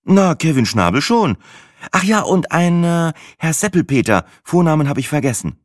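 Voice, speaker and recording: A cheerful young woman, as a male narrator puts her on, chirpy and innocent, her voice pushed high and lively. The audiobook recording is dry and close. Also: moderate pace, no echo.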